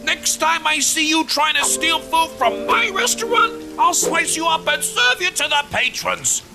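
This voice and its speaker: Gravelly high-pitched mean cartoon cook. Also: male voice, big pitch swings, exaggerated voice. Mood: furious, threatening. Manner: threatening, exclaiming, drawn-out. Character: mean hot-tempered restaurant cook chasing off strays. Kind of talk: cartoon voice acting. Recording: loud music over the voice.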